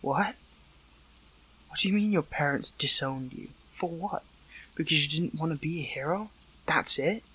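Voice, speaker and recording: Gravelly, weary captive young hero played by a man. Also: a little high, little pitch movement, bright, forceful tone, full character voice, low-quality recording. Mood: concerned, subdued. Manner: halting, emotional, pleading. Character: weakened captive young male superhero, hurt, earnest, still caring. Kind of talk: intimate audio roleplay drama.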